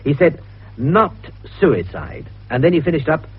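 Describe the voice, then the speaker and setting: A man playing a gruff, sceptical, exasperated British police superintendent in 1950s radio drama, blustering and sardonic. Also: a little high, big pitch swings, a little slow, full character voice. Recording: tinny, noisy vintage radio recording.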